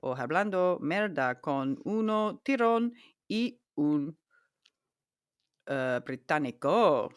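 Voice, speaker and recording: A woman co-hosting a podcast in casual chat, talking into a microphone, animated and self-mocking, swearing casually. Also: sits low, takes it slowly, smooth, no echo, studio quality. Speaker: podcast co-host.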